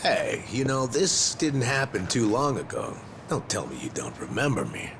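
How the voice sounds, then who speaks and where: An actor playing a sardonic, determined older disgraced cop in a video game drama, with a folksy, taunting way of talking. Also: male voice, mid-range voice, wildly swooping pitch, noisy background. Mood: wry. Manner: taunting, folksy, drawn-out delivery. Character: gruff, sardonic older discharged male cop, smug and determined.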